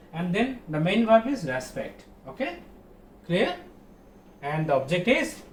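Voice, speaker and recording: A man's voice: high voice, a little mellow. A male school teacher with a Bangladeshi accent gives an online grammar lesson in a didactic, repetitive way, asking questions and answering them himself. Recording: roomy echo, distant mic, faint noise.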